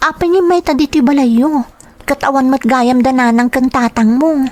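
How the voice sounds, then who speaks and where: A woman's voice: sits high, steady tone, normal speed, a little mellow, close to an everyday voice. A woman playing a shy, questioning young woman in an Ilocano audio drama. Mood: shy, uneasy.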